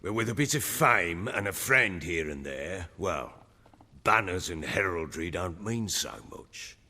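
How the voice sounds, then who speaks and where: An actor playing a rough, middle-aged warlord baron in a video game, gruff and husky yet sentimental. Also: male voice, low-pitched, expressive, a little slow, pushed and bright, in a large hall, exaggerated voice. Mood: gruff, matter-of-fact. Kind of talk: dramatic video game acting.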